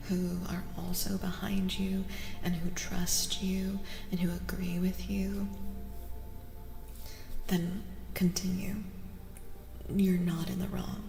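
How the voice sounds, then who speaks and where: A woman doing a soft-spoken ASMR tarot reading as a streamer, breathy and often near a whisper, soothing and affirming, gently repeating her phrases. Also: mid-range voice, noisy background. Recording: echoey reverb with music behind. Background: music.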